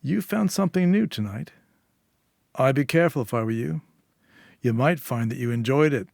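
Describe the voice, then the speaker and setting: A man voicing a menacing, coolly confident underworld fixer in a story, deliberate, commanding and sardonic. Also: mid-range voice, animated, creaky, darkish tone, no echo, studio quality. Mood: knowing, menacing.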